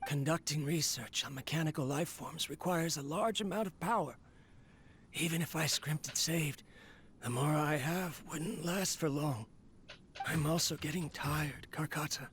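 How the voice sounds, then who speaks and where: A man's voice: fairly high pitch, measured pace, fairly bright tone, slight background noise. An actor playing a lonely, weary male researcher in a video game, speaking in a wistful, resigned monologue.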